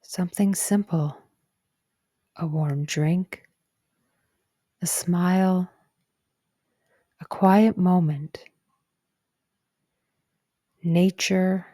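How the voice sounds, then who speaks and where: A woman narrating a guided meditation in a gentle, calm and soothing way, with soft breathy phrase endings. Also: fairly low pitch, drawn-out words, long pauses, no echo, clean studio sound. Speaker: psychologist guiding a meditation.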